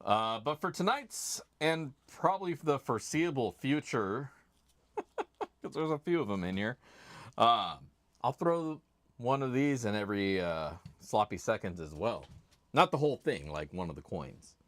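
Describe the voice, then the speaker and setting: A man hosting a casual livestream, chatty and laid-back, with a loud voice, talking into a desk microphone at his home streaming setup. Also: medium pitch, big pitch swings, a little slow, no echo. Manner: chatty, laid-back, reads chat names aloud. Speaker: livestream host.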